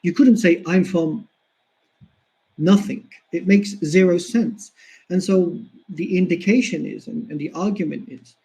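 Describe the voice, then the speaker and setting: Guy lecturing on history, warm mellow voice, a bit high, repeats himself for emphasis. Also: a little slow, gravelly, rough recording. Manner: earnest, emphatic repetition. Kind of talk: explanatory lecture.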